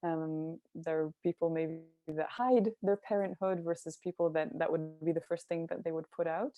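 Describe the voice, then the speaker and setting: A woman's voice: low-pitched, steady tone, moderate pace, no echo, phone-quality sound, soft background music. A woman from Israel speaking as an interview guest, thoughtful and searching, with frequent ums and restarts. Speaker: guest, cultural mentor. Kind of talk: reflective interview talk.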